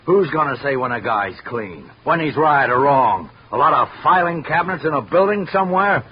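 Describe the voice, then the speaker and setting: On a muffled old radio recording with faint noise, an actor plays a bitter, surly night watchman. He is a man with a mid-pitched voice, curt, sarcastic and on the defensive. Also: lively intonation, normal speed, natural voice. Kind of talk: 1950s radio drama acting.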